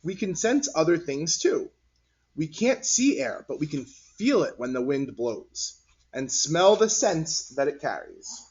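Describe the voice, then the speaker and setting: A man reading a picture book aloud to children in an animated, husky voice. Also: a little high, a little slow. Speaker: read-aloud storyteller. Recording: dull, lo-fi recording.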